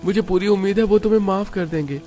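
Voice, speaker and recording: Man putting on high-pitched, gentle young husband. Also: quick pace, soft background music, lightly acted. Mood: gently worried. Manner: earnest, coaxing. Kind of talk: cartoon story dialogue.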